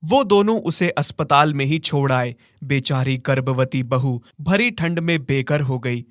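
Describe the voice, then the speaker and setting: A man's voice: mid-range voice, unhurried, dry recording, studio quality. A man narrating a scripted moral story as a storyteller.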